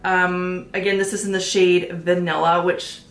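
A woman in her thirties talking casually through a makeup tutorial, a little congested and sniffly, with relaxed ums and likes. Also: fairly low pitch, unhurried, reverberant, soft background music. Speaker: beauty vlogger. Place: small room at home, plain backdrop. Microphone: camera at head-and-shoulders framing.

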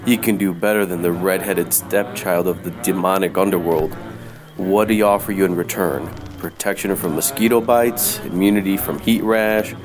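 A man playing a wisecracking, sardonic detective, narrating in deadpan, slangy radio noir style. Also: low-pitched, normal speed, music in the background, close to an everyday voice. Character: wisecracking hard-boiled male detective, sardonic and unfazed.

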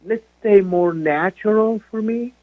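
A middle-aged man with a Syrian Arabic accent tells his story at an unhurried pace, pausing and restarting often. His voice reaches the show through a narrow, tinny phone line with no room sound. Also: high voice, no room sound. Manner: slow, halting, many fillers and restarts. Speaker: guest actor. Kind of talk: radio interview. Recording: dry phone-line call.

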